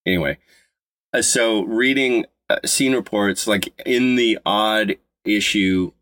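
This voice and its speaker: A man in his fifties from Colorado, co-hosting a podcast in casual chat, talking with a slight stutter and halting restarts. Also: fairly low pitch, fairly bright tone, no room sound. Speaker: podcast co-host.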